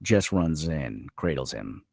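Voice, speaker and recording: A man's voice: rumbling low, big pitch swings, slow, gravelly, a little mellow, dry recording, clean studio sound. A man reading stage directions as dramatic scripted narration at a screenplay table read.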